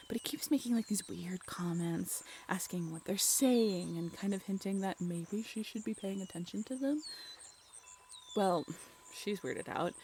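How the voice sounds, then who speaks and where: Audio drama role: chatty, excitable young woman played by a woman, a touch low, recounting a tale to a companion in casual, conversational style. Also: a little hiss or hum, natural voice. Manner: informal, giggly, conversational asides with rhetorical questions. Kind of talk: casual, animated storytelling in an audio roleplay.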